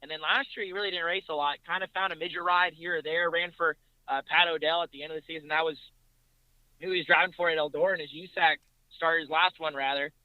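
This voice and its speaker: A man's voice: a little high, steady tone, quick pace, clean tone, no room sound, muffled recording. A man talking casually as a podcast guest, recounting racing details in a conversational way.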